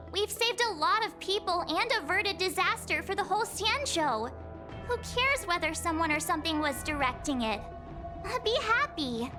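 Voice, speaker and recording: A woman playing a spirited, cheerful, optimistic young girl adventurer in a video game, talking in an informal, emphatic way. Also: very high-pitched. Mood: eager. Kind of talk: English video game voice acting. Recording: game music behind the voice. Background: game music.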